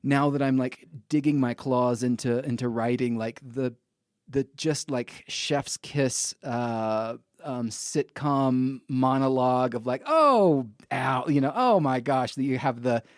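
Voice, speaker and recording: A man with a medium-pitched voice, recorded dry and close. He hosts a casual interview chat and comes across as agreeable and effusive. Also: takes it slowly, dry recording. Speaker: podcast host.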